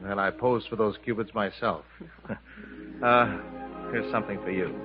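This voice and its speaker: A man's voice: fairly low pitch, steady tone, normal speed, natural voice. Guy acting easygoing innkeeper in love, 50s radio play, dull old recording w music. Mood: fond, playful.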